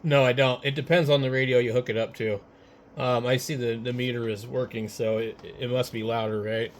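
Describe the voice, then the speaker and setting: A man's voice: mid-range voice, fairly quick. A man talking casually and a bit hesitantly as an amateur radio operator on a ham radio setup. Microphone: radio microphones, switched between hand mics. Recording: noisy amateur radio setup, steady background noise.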